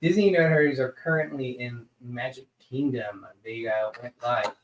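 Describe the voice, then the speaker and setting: A man co-hosting a casual live stream, his voice sitting in an ordinary middle pitch. He talks in a relaxed way, full of ums and uhs, and now and then teases his partner. The room around him is echoey. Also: normal speed.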